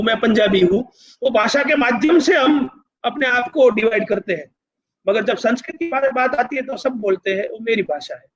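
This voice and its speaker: A man with a notably high voice and a Gujarati accent delivers a public lecture. He explains and persuades emphatically, building parallel repeated phrases and slipping in English words. The recording is dull and lo-fi. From Gujarat, India. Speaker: university vice-chancellor, speaker.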